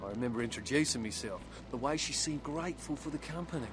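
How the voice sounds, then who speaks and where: A man's voice: medium pitch, fast, music in the background, lightly acted. A man playing a young surfer turned outcast vampire in a video game, wistful and bitter, with a folksy, plain-spoken manner. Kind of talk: video game dialogue acting.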